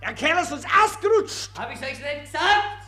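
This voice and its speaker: An actor playing an agitated, jeering street rabble-rouser in a 1940s German radio drama, shouting crude mockery. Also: sits high, lively intonation, normal speed, soft background music. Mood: gloating, jeering. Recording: noisy, humming 1940s radio recording.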